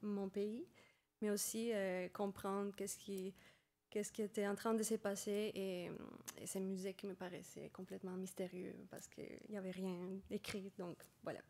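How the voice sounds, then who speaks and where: A woman's voice: mid-range voice, flat delivery, mellow. Woman, thoughtful panelist. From Colombia. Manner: thoughtful, pausing often to find words. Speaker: doctoral student panelist. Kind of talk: personal account on a panel. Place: conference hall stage.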